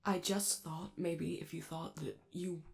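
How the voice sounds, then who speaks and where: A woman playing a friendly, awkward young male robot in a soft ASMR roleplay, with a creaky voice and a hesitant, rambling, apologetic delivery. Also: lowish voice, fairly even intonation, measured pace. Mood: nervous, reassuring.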